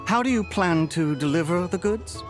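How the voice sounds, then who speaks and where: A man playing a wily, guarded smuggler in a video game, with a sly, expressive delivery. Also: high voice, normal speed, faint music behind. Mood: haggling. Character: wily, guarded male smuggler haggling over deals.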